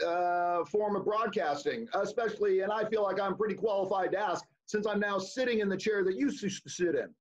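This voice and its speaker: High-voiced male interviewer, dull call audio. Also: fairly quick, few pauses. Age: middle-aged. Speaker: TV sports interviewer. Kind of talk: conversational interview. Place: home living room on a video call. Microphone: video call microphone.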